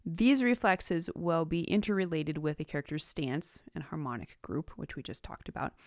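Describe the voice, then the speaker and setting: A woman podcast host reading scripted instructional material in a measured, orderly way, setting out each point clearly. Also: lowish voice, dry recording, studio quality. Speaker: podcast host giving writing tips.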